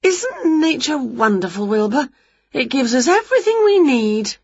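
A woman voicing an excitable, greedy, scatty woman from a children's storybook, gushing and exclaiming. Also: a little high, a little slow, breathy edge, dry recording, muffled recording, voice pushed up, full character voice. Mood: gleeful, chatty.

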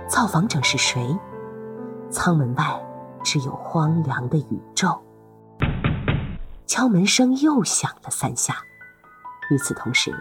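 A woman narrating a serialized fantasy audio drama as its host, in a dramatic scripted storytelling style. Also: low voice.

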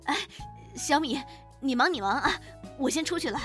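A woman acts a middle-aged mother in a radio drama, chatty and fussing. Her voice is high and very animated, full of exclamations, with music behind it. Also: normal speed. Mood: delighted. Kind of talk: modern Chinese radio drama.

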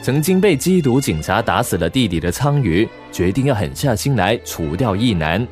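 A man's voice: lowish voice, sing-song, normal speed, a little mellow. A man presenting a scripted recommendation as the host of an audio drama show. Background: background music.

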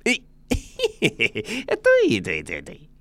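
Male storyteller as brash monkey king, giggly, mischievous, wildly swooping pitch, close dry sound. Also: huge pitch swings, extremely slow, vocal fry, fairly bright tone, no echo, exaggerated voice. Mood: delighted. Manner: giggly, exclaiming, cocky.

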